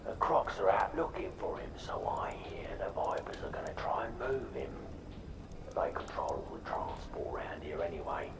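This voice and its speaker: An actor playing a shady, knowing male scout and informant in a game cutscene, hushed and conspiratorial, in an echoey space. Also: a bass voice, big pitch swings, normal speed, gravelly, in a whisper, full character voice. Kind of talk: video game cutscene acting. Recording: echoey, distant from mic.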